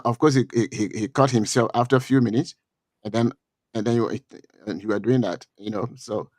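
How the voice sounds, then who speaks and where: A middle-aged man with a Liberian accent comments on politics for a streamed talk show. He speaks at a middle pitch and halts often, repeating words and filling gaps with "uh." His voice is recorded dry and close to the mic. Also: a little mellow, no room sound. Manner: halting, repeats words often, many fillers.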